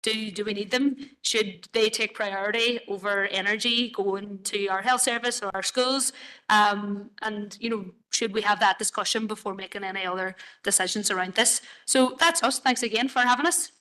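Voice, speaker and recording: A woman's voice: medium pitch, normal speed, sharp tone, rough recording. A woman presenting proposals to a council committee, hesitant with many fillers and restarts. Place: council chamber.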